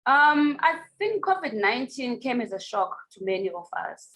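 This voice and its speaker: A woman with a South African accent, a documentary director, answering in a reflective way with many pauses and fillers. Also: a little high, big pitch swings, fairly bright tone. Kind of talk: online festival Q&A.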